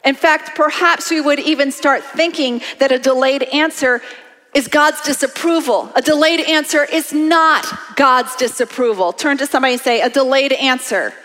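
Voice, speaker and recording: A woman in her late fifties preaching a sermon in an animated, conversational way, calling on the congregation to respond. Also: high voice, moderate pace. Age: 50s. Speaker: pastor. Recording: long hall reverb.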